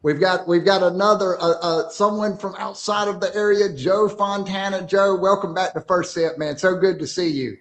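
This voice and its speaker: A man's voice: high voice, steady tone, moderate pace, few pauses. A man hosting a casual talk show, upbeat and affirming as he asks open questions. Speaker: host.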